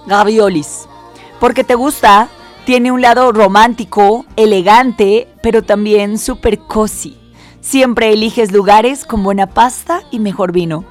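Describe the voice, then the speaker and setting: A woman's voice: medium pitch, steady tone, measured pace, soft background music. A woman hosting a radio segment in a studio, bubbly and reading out a list with playful emphasis. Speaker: radio host.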